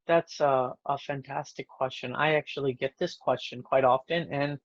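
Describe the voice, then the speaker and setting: A man's voice: medium pitch, fairly even intonation, moderate pace, no echo. A man with an American accent giving conversational, explanatory interview answers, often checking in with the listener, over slightly dull call audio. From born in India, moved to the United States young. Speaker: podcast guest, networking expert.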